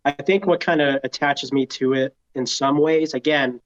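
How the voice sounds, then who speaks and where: A man's voice: medium pitch, steady tone, normal speed. A man co-hosting a podcast, giving mild, hesitant opinions in casual film chat, on a dull lo-fi recording. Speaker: podcast co-host. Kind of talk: casual movie review chat. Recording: dull, lo-fi sound.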